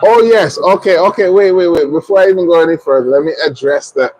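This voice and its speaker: A man's voice: on the high side, brisk, darkish tone, poor audio. A man with a Jamaican accent, chatting casually as host of a live stream. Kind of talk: casual chat.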